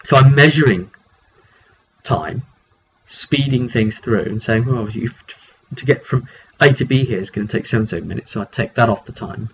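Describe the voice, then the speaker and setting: Guy chatting through his tabletop rpg session, mid-pitched voice, muffled mic w some noise behind. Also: moderate pace, creaky. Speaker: game master recapping a tabletop roleplay session. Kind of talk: casual spoken storytelling. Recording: dull lo-fi mic with background noise.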